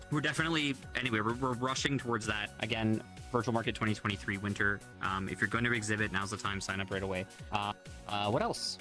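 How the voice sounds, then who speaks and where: A man chats his way through a livestream, upbeat and loose, with plenty of ums and restarts. His voice is bright and forward. Faint music plays underneath. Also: mid-range voice. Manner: rambling, upbeat, many fillers and restarts. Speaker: livestream host. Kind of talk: casual livestream chat.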